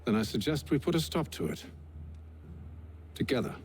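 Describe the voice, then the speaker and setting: An actor playing a composed, wry, educated English gentleman adventurer in a video game, with a British accent and a formal, dry manner. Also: mid-range voice, lively intonation, normal speed, a little hiss or hum, natural voice. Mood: earnest, firm. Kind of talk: video game cutscene acting.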